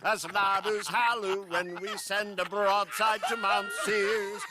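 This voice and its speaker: A man's voice: sits high, fairly even intonation, slow, noisy background. A man playing a merry carouser, singing a hearty old sea shanty in folk style. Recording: loud crowd joining behind.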